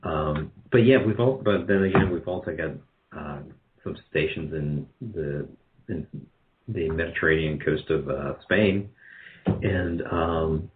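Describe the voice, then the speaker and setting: A man with a very low voice talks casually as a guest in an interview. He speaks haltingly, circling back and correcting himself as he goes. The audio is narrow and muffled, like a lo-fi call. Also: noisy background. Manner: halting, rambling, self-correcting. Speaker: guest, radio drama producer.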